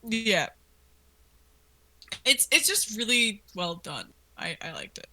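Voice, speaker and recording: A woman's voice: on the high side, very animated, slow pace, bright, forceful tone. A woman co-hosting a commentary in casual chat, informally reacting and prompting her partner. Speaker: co-host.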